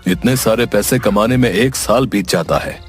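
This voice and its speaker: A man voicing a hardworking, reproachful elder brother, blunt and disapproving, in an animated moral story. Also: fairly low pitch, normal speed, soft background music, close to an everyday voice.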